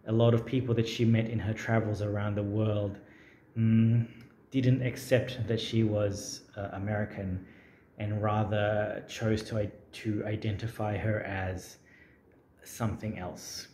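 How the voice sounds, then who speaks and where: A man's voice: fairly low pitch, level tone, a little slow, dark tone, slight background noise. A man talking casually and unscripted to camera, thoughtful and rambling, with frequent hesitations. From Australia. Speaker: language-learning vlogger. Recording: roomy echo.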